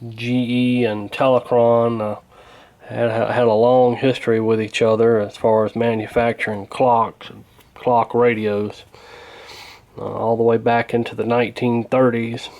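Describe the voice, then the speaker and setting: A man's voice: medium pitch, level tone, a little slow, darkish tone. Folksy man, hesitant delivery. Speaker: radio repair hobbyist, presenter. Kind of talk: casual hands-on repair commentary.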